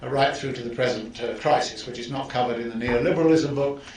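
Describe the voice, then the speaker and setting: A male professor giving a deliberate, explanatory lecture to students and often restarting his phrases. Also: mid-range voice, darkish tone. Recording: echoey room, distant mic, faint hiss.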